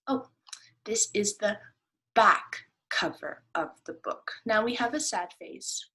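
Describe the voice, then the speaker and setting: Woman teacher for children's read-aloud: explanatory, questioning, husky, unhurried. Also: mid-range voice, pushed and bright. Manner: explanatory, prompting listeners with questions.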